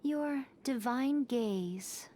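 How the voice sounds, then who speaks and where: A woman's voice: on the high side, very slow, mellow, a little hiss or hum. A woman voicing a sly, teasing, centuries-old fox envoy in a video game, speaking in an articulate, probing, theatrical way. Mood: probing, sympathetic.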